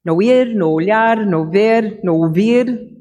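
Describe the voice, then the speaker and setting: Measured devotional speaker. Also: mid-range voice, a little mellow. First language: English. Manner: reflective, measured, with small self-corrections. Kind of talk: Bible devotional message.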